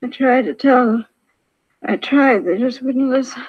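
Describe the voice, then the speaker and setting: An actress playing a dazed, grieving, injured widow in an old radio crime drama, weak and repetitive, in short broken sentences. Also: female voice, sits high, unhurried, breathy edge. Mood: dazed, distressed. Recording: thin, phone-like vintage radio recording.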